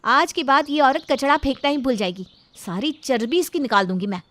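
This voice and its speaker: A woman's voice: sits high, a little fast, fairly bright tone, slight background noise. A woman voicing a feisty, outspoken young daughter-in-law in a cartoon story, blunt and scolding. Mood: defiant.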